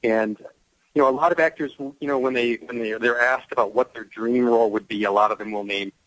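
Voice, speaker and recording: A man's voice: mid-range voice, steady tone, brisk, a bit bright, no echo, poor audio. Male radio guest, mid-range voice, enthusiastic and explanatory, conversational, dry close sound. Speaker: guest, audio drama actor. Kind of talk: casual radio interview.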